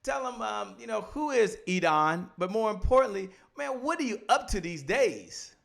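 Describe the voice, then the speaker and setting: A man's voice: high voice, moderate pace, vocal fry. A middle-aged man hosting a casual interview show, talking animatedly into a studio mic in a home studio. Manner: animated, gestures with hands while talking. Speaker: show host. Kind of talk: casual green-room interview. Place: home studio with poster behind. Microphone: studio mic on boom arm.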